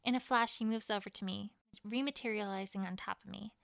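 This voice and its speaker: A woman playing a proud, conflicted pony queen, telling her story in first person in a dramatic, expressive fan fiction reading. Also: mid-range voice, fairly quick, gravelly, dry recording, studio quality. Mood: weary, tense.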